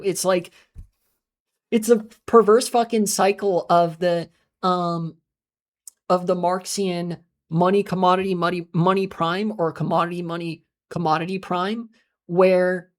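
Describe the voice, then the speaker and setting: An American live streamer in a casual, rambling monologue who swears often, trails off into filler sounds and answers chat messages. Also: mid-range voice. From United States.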